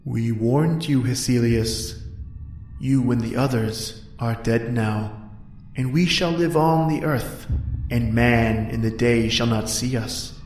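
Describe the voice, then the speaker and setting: A man's voice: medium pitch, takes it slowly, a little mellow, lightly acted. A man voicing a merciless shadow spirit in a radio horror play, speaking in an ominous, taunting manner. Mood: gloating menace. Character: menacing Shadow People spirit, gloating and merciless. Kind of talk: old-time radio horror acting. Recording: echoey, distant, music underneath. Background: music.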